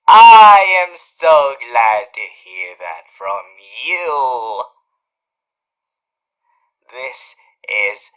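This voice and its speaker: A performer voicing a doting, teasing male radio demon in an ASMR roleplay, speaking in a theatrical, courtly way with drawn-out emphasis. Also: medium pitch, fairly bright tone, heavily acted. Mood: delighted. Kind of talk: ASMR character roleplay to the listener.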